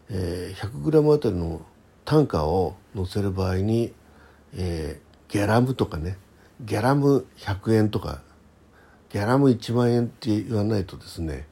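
A man's voice: fairly low pitch, mellow, breathy edge. A man hosting his own casual talk show, chatting solo in a hesitant, conversational way with little asides agreeing with himself. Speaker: host of a personal audio talk show. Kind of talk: casual solo radio chat, reading listener messages.

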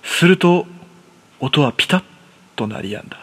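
A man playing a jittery, self-deprecating recluse in his thirties, narrating a first-person horror story for a radio drama in rough, colloquial speech. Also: fairly high pitch, lively intonation, normal speed, a bit bright. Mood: frightened.

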